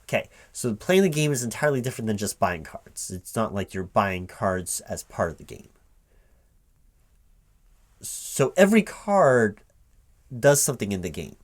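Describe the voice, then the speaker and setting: Puzzled man in a halting interview roleplay. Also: fairly low pitch, very animated. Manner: halting, puzzled, repeating things back as questions. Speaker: performer playing a recruiting manager interviewing a job applicant. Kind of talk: one-sided spoken ASMR interview roleplay, improvised.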